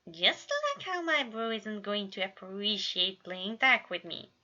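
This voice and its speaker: A woman's voice: mid-range voice, animated, measured pace, sharp tone, lo-fi sound. A woman voicing a blunt, mischievous brother with a teasing manner in a story reading.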